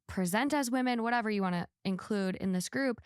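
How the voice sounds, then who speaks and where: A woman's voice: mid-range voice, brisk, clear, resonant tone, no echo, clean studio sound. A woman hosting a podcast, chatting casually and giving her opinions in an emphatic, outspoken way. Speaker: podcast host.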